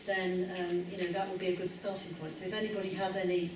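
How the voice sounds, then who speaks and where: A woman speaks up at a public meeting, hesitant and full of fillers. Her voice sits low. She is recorded from a distance in an echoey hall with clear background noise. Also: little pitch movement, quick pace.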